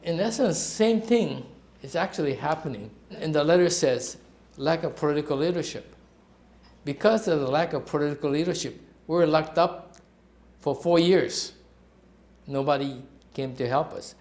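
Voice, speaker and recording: A man's voice: fairly high pitch, normal speed, a little mellow. A man in his seventies speaking earnestly and emphatically in a documentary interview. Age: 70s. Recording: echoey room.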